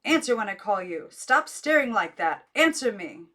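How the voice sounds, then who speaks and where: A woman's voice: medium pitch, sing-song, normal speed, pitched above the performer's own voice. Storybook aunt, older, stern and angry, raised scolding delivery, acted out by a woman reader. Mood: impatient, commanding. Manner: sharp, scolding. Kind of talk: audiobook character voice.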